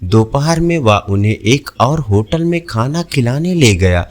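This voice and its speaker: A man narrating a scripted village folk story, reading in an expressive, animated way. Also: medium pitch, measured pace.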